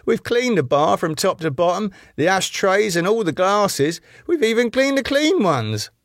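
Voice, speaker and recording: A man's voice: high-pitched, sing-song, unhurried, dry recording, studio quality, voice pushed up, exaggerated voice. A man voicing a deferential young bar worker protesting plaintively in a drama script.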